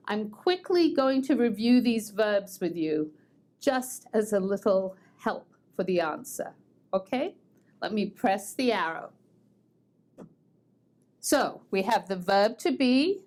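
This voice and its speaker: An American woman teaching an online English grammar lesson, patient and methodical, repeating words slowly so learners can drill along. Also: mid-range voice, a little mellow. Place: language school in Boston.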